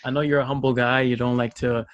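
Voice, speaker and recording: A man's voice: mid-range voice, little pitch movement, fast talker, highs cut off. Enthusiastic male podcast host. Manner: enthusiastic and complimentary, affirming. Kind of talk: casual interview talk.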